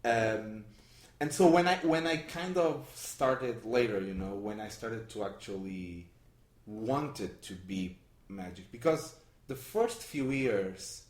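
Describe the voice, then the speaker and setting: Man, from Portugal, Portuguese native, very low voice, halting with restarts, echoey room. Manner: halting, many restarts and fillers. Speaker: guest magician. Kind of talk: conversational interview. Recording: roomy echo.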